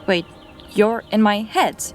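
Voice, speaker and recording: A wry young mage heroine, voiced by a woman in a fantasy audio drama. She speaks slowly and deliberately, her nerves showing through a dry, self-mocking humor. Soft music plays faintly behind her. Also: mid-range voice, natural voice. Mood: curious.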